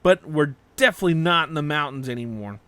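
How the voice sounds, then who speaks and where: A man's voice: a little high, animated, quick pace, fairly bright tone, no echo. A man voicing an earnest, level-headed young swordsman hero from an anime story, speaking with urgency. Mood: concerned.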